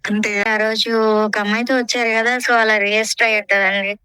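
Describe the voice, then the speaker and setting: A woman's voice: medium pitch, little pitch movement, fast talker, bright, forceful tone, clear, resonant tone, no echo. A woman making a phone call in polite, businesslike customer-service patter as a restaurant employee. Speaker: prank caller posing as restaurant staff.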